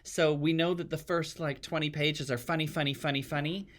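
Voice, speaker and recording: A man's voice: fairly high pitch, fairly even intonation, fairly quick, a bit bright. A middle-aged man talking reflectively and earnestly as a guest in an interview, repeating words for emphasis. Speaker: guest, playwright and drag performer.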